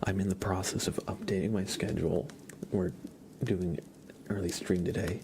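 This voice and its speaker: A man streaming ASMR, chatting softly and gently in a breathy, often whispered voice, unhurried and informal, close to the microphone. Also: low-pitched, fairly even intonation, a little hiss or hum. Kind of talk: soft-spoken ASMR livestream chat.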